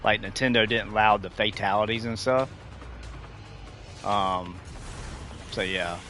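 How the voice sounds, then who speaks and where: Forties male streamer, lowish bright voice, rambly and casual, faint music behind. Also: fairly even intonation, measured pace. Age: 40s. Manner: rambling, restarts sentences often. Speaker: livestreamer talking to chat. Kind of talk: casual chat while playing.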